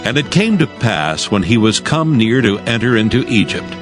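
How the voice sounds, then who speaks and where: A man narrating scripture as a scripted dramatized audio reading, in a solemn, measured storytelling manner. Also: fairly low pitch, big pitch swings. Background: music.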